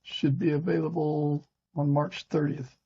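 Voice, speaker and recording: A man with a gravelly, husky voice hosting a podcast, mostly reading prepared narration with short conversational interjections. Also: on the high side, little pitch movement, a little slow, dark tone, muffled recording. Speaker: podcast host.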